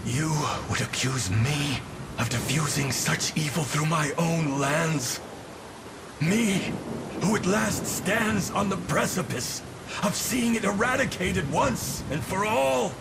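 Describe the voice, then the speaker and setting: An actor playing a grave, obsessive scholar lord in a fantasy video game, speaking formally and grandly. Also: male voice, fairly high pitch, animated, takes it slowly, creaky, noisy background, exaggerated voice. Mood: indignant. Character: grave, driven nobleman obsessed with experiments and cure.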